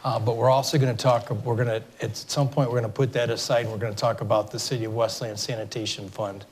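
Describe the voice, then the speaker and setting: Middle-aged Michigan man, scratchy cold voice, mid pitch, informal town hall moderator, faint room noise. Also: little pitch movement, fast talker. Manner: informal, many fillers and restarts. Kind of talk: public meeting address. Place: city hall meeting room. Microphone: microphone for televised recording.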